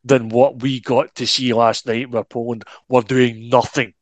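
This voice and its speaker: A man's voice: medium pitch, level tone, no echo. A man co-hosting a football podcast, blunt and opinionated in casual chat. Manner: blunt and opinionated, quick asides. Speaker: podcast co-host.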